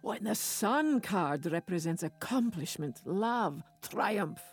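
A woman's voice: on the low side, animated, takes it slowly, husky, soft background music, full character voice. Older psychic lady, dry and coaxing, clipped phrases, audio drama. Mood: coaxing, upbeat.

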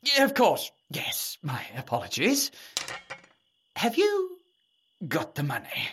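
An actor in an audio drama playing a pompous, nervous henpecked husband and court official who stammers when flustered. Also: male voice, sits high, wildly swooping pitch, takes it slowly, fairly bright tone, a little hiss or hum, heavily acted. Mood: nervous, scheming.

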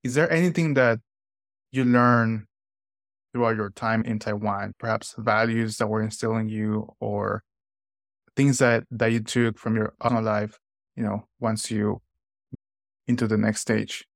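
Low-voiced earnest male podcast host. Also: steady tone, a little slow, vocal fry, long pauses, no echo, studio quality. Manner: earnest, talks in short phrases with restarts. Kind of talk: podcast intro and casual interview.

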